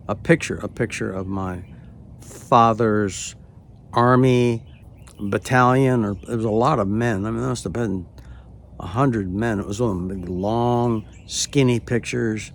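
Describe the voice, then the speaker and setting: A man in his late seventies telling a personal story in a hesitant way, with many pauses, and often dropping to a near-whisper. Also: on the low side, vocal fry, a little hiss or hum. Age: late 70s. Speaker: storyteller recounting a personal experience. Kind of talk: unhurried spoken personal narration.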